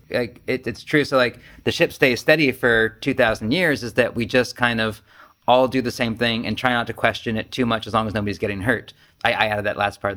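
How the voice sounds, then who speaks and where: A man's voice: medium pitch, fairly bright tone. Casual, self-deprecating man giving a talk. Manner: rambling, self-deprecating, joking asides. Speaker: Zen teacher and podcast host. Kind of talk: casual, conversational dharma talk to a group.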